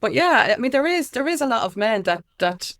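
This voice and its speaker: A woman's voice: on the high side, big pitch swings, brisk, fairly bright tone. A woman with an Irish accent giving earnest, outspoken answers in a conversational interview. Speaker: interview guest, activist and music consultant.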